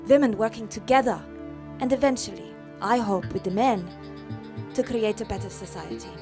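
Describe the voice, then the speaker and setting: A woman's voice: medium pitch, moderate pace, gravelly, music in the background, close to an everyday voice. A woman playing an earnest, didactic feminist activist in a radio play. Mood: earnest, lecturing.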